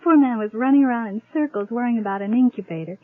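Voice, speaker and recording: A woman's voice: fairly high pitch, fast, natural voice. An American woman acting a teasing, tender new mother in a 1950s science fiction radio drama. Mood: amused, teasing. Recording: tinny old radio broadcast recording.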